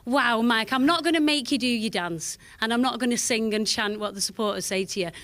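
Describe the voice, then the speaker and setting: A woman news reporter with a croaky, hoarse voice, chatty as she reports live and interviews a guest. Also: a little high, brisk, dry recording. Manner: chatty, warm live delivery.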